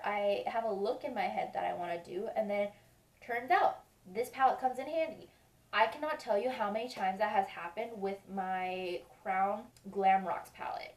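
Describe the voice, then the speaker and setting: A woman vlogger with a stuffy, sniffly nose, chatting casually and rambling as she reviews beauty products. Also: mid-range voice. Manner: chatty, rambling, repeats reassurances. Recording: roomy sound, mic at a distance.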